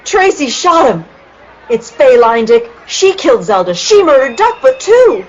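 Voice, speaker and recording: A woman's voice: high voice, big pitch swings, a little slow, darkish tone, muffled recording, exaggerated voice. A woman playing a distraught young heroine in a 1940s-style radio drama, breathless and melodramatic.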